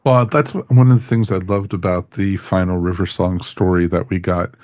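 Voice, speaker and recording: A man's voice: sits low, little pitch movement, normal speed, darkish tone, no room sound. A man hosting a podcast, talking casually as he reviews and moderates. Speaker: podcast host.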